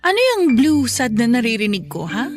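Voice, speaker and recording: A woman's voice: fairly high pitch, big pitch swings, normal speed, faint music behind. An actress playing a fussy, eager middle-aged mother of the groom in a radio drama. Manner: fussy, nagging.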